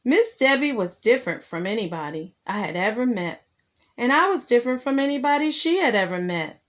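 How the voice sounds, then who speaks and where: A woman's voice: fairly high pitch, very animated, fairly quick. A woman storyteller voicing a lonely, troubled homeless man in earnest children's storybook dialogue. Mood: warm, reflective.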